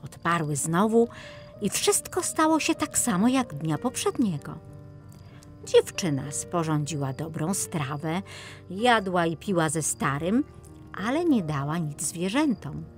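A woman's voice: fairly low pitch, sing-song, unhurried, soft background music. A woman reading a children's fairy tale as a scripted audiobook narration.

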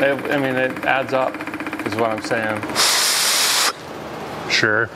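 A man in his thirties talking casually as he works, with a folksy, deadpan, rambling humor, as host of a garage repair vlog. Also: mid-range voice, slow. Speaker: host of a car repair show. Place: large auto repair garage with concrete floor. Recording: clear background noise, garage ambience.

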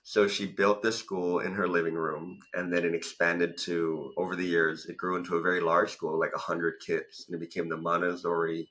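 Low, bright-voiced man telling stories. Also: flat delivery, normal speed, few pauses. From Thailand. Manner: talkative, storytelling with many "like" fillers. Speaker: guest, business coach and founder. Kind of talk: casual interview. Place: home room with bookshelves. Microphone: studio mic in front, video call.

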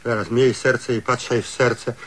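A man's voice: mid-range voice, steady tone, moderate pace, close to an everyday voice, rough recording. A man playing a servile, easily confused tenant in a radio comedy, stammering and gushing with diminutives. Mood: flustered, nervous. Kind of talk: satirical radio comedy sketch acting.

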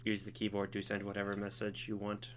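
A man doing a monotone learning computer. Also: lowish voice, a little fast, slight background noise, close to an everyday voice. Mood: earnest. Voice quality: flat monotone. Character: earnest, naive learning computer, male, monotone.

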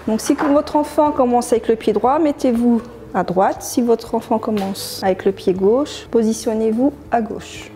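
High-voiced woman coaching gym. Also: dark tone, a little hiss or hum. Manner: clear step-by-step instructing. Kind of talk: instructional exercise narration. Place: living room at home.

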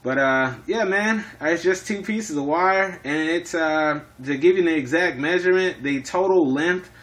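A man's voice: a little high, a little slow, fairly bright tone. A man in casual two-way radio chat, speaking in operator jargon and often repeating himself. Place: indoors in an apartment.

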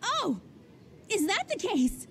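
A young female martial artist from a video game, brash and bubbly. Her voice sits very high and swings playfully up and down. Busy game ambience runs clearly behind her. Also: wildly swooping pitch, slow pace, noisy background, full character voice. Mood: playful teasing. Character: brash, teasing, hot-blooded young big-sister martial artist. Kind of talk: video game cutscene acting.

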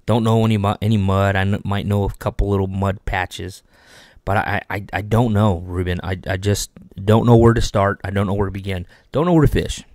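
A man in his thirties from Texas, hosting a fishing channel, giving animated, repetitive tips to camera from a small home studio on a desk microphone. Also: lowish voice, a little fast, no room sound. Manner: animated, repetitive coaching with lots of hand gestures. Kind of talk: instructional video talk to camera. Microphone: desk microphone on boom arm.

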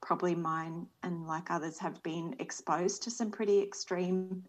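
A woman's voice: fairly low pitch, level tone, moderate pace. An Australian woman in her forties speaking earnestly and thoughtfully as a panel guest over a video call from a small room. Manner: earnest, thoughtful, soft-spoken. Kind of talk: reflective panel talk. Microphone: video call webcam.

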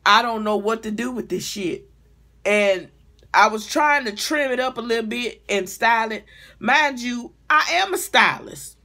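A woman's voice: on the high side, expressive, measured pace, pushed and bright. Blunt, exasperated middle-aged woman. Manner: blunt, profane, exasperated, conversational. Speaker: vlogger and hair stylist. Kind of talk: casual comedic product rant. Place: small home room, indoors.

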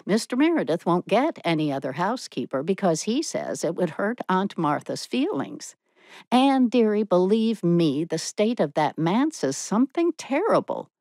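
A woman voicing an opinionated, sharp-tongued spinster who gossips with contempt and bitterness in a storybook reading. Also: lowish voice, sing-song, moderate pace, dry recording, clean studio sound. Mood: indignant gossip.

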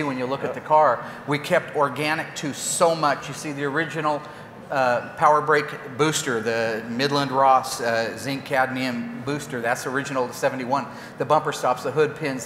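Car restorer in his fifties, brash and joking, lapel mic on a convention stage, boomy hall sound with faint crowd noise. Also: fairly even intonation, normal speed, a bit bright. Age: 50s. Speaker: car restorer and show guest. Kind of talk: casual stage chat at a press event.

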